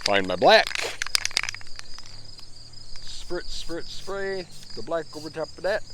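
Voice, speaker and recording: A man's voice: a little high, big pitch swings, slow pace, background noise. A man in his fifties giving a rambling, self-deprecating running commentary as he spray paints. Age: 50s. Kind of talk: running commentary while working.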